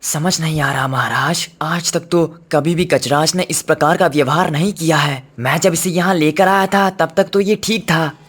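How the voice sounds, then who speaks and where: A man's voice: on the high side, fairly even intonation, normal speed, hardly any pauses, fairly bright tone, breathy edge. A man playing a deferential royal servant who speaks formally and respectfully in a children's cartoon story. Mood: puzzled. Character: deferential male royal servant reporting to his king.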